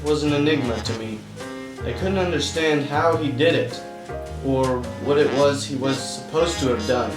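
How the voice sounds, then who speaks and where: In a roomy, distant recording with music behind it, a man acts out a cocky, bumbling private eye from an old-style detective radio play. He talks in self-assured slang and wisecracks, in a fairly high male voice. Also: close to an everyday voice. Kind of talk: noir radio-play narration and dialogue.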